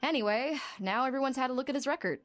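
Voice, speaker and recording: A woman's voice: sits high, fast, soft background music, lightly acted. A woman playing a blunt, feisty young bathhouse worker in a video game, brash and impatient. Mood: insistent.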